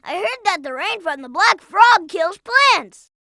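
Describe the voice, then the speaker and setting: A performer voicing a young boy fox sidekick in a video game, childlike, earnest and excitable, eager to explain things. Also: very high voice, measured pace, vocal fry, no echo, clean studio sound, exaggerated voice. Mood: earnest, observant. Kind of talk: video game character voice acting.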